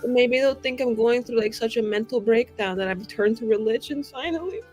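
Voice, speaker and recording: A woman's voice: on the high side, normal speed. A woman playing a tabletop game, improvising casually with a flippant, informal way of talking. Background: soft background music.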